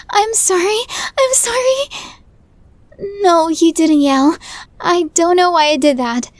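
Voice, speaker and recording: A woman's voice: sits high, no echo. A woman playing a tiny, nervous, lovestruck young woman in an ASMR roleplay, stammering and flustered. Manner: stammering, flustered, breathy asides.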